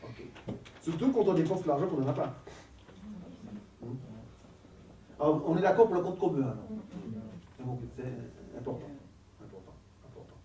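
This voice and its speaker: Animated man in an echoey room. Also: a little high, moderate pace, pauses often. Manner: animated, laughing, repeats words. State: has a cold. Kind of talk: casual teaching talk with a group. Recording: echoey room, from a distance, faint noise.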